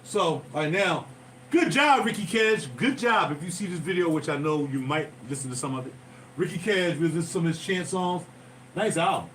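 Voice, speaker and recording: A man's voice: a little high, expressive, moderate pace, a bit bright, slight background noise. A man rambling through stories to his livestream viewers, often drifting off topic and losing his thread. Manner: digressive, loses his thread, talks to viewers directly. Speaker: musician hosting a livestream. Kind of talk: casual rambling livestream storytelling. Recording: distant mic with music playing behind.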